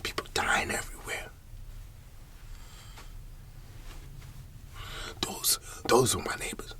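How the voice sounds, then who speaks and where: A man playing a paranoid, troubled older Southern farmer in an ASMR roleplay, whispering haltingly and repeating his words as he rambles. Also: sharp tone, natural voice. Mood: agitated, suspicious. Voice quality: breathy whisper.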